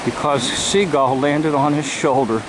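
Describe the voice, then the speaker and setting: A vlogger telling a story to camera in a deliberate, emphatic, preachy way, with pauses between short phrases, outdoors on a handheld camera. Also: mid-range voice. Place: outdoors at a seashore. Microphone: handheld camera microphone. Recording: loud surf and wind-like noise. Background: ocean waves.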